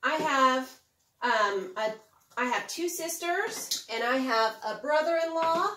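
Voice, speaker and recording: High-pitched, unhurried woman teaching small children. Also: slight background noise. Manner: animated, simple phrasing, asks the listener questions. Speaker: children's Bible lesson presenter. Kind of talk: preschool lesson addressed to young children. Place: indoors, home kitchen. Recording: roomy, echoey home kitchen.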